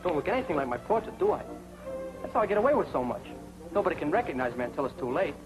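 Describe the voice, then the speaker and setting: An actor playing a cocky, bumbling young navy pilot who brags and wisecracks, in the acting style of a 1940s training film. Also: male voice, fairly high pitch, very animated, racing, low-quality recording. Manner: wisecracking, boastful, jeering. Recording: old film soundtrack with music and hiss.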